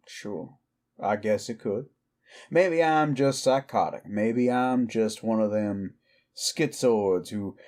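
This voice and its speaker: Guy acting a cowboy outlaw werewolf, real slow talker, brooding and goading. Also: fairly low pitch, darkish tone. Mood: sardonic, grave. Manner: slow, deliberate, goading, rhetorical questions. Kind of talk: intimate roleplay audio drama acting.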